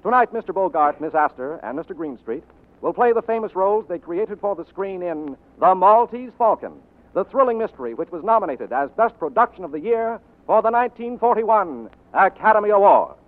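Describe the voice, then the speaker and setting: High-pitched vintage radio announcer man. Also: big pitch swings, fairly quick. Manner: grand, formal, carefully enunciated. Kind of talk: formal 1940s radio announcing. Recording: narrow vintage radio recording with music.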